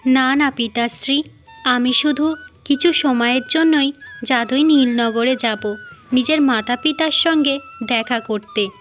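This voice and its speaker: Woman voicing a high-voiced young cartoon princess. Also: unhurried, fairly bright tone. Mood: reassuring. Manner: formal, declarative storybook speech. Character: young earnest princess, firm and respectful. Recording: music behind the voice.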